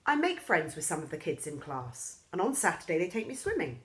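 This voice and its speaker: A woman reading a children's story aloud in an expressive storybook style, acting out the characters' lines. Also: fairly quick. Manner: lively, acts out characters' lines with raised pitch.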